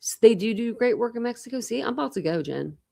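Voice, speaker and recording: A woman's voice: on the high side, animated, quick pace, vocal fry, mellow. A young woman with a slight lisp, chatting casually with viewers during a livestream and replying to their comments. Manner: chatty, rambling, answers chat comments. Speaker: true crime livestream host.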